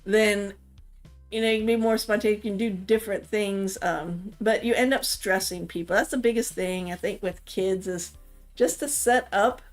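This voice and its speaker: A woman's voice: medium pitch, moderate pace, faint music behind. A middle-aged woman from the Washington, D.C. area, talking casually about raising kids and rambling with lots of "you know"s. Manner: rambling, thinks aloud with many "you know"s. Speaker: mother of the streamer. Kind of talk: casual chat about parenting.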